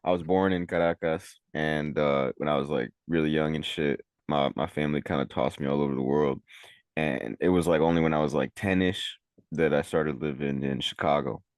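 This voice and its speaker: Casting: young guy rapper from Venezuela, extremely low voice, loose slangy rambling, dry close sound. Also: fairly even intonation, moderate pace, no room sound. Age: young adult. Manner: laid-back, rambling, heavy on "like" and slang. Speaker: rapper and recording engineer. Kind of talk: casual interview chat.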